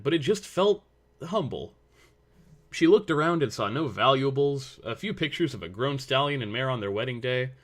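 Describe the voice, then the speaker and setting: A man's voice: mid-range voice, a bit bright. A man reading scripted story narration as the narrator.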